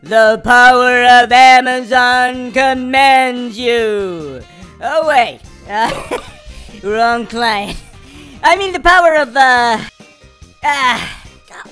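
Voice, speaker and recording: A woman's voice: fairly high pitch, animated, slow pace, a bit bright, smooth, soft background music. A woman playing a hammy, bumbling male exorcist priest with corny showbiz patter in a comic audio drama. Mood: scatterbrained.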